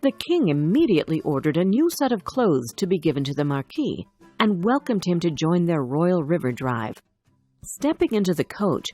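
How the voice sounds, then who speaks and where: A woman narrates a children's tale from a script. Her voice is somewhat low and husky, with highly varied intonation. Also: darkish tone. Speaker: storyteller. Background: faint music.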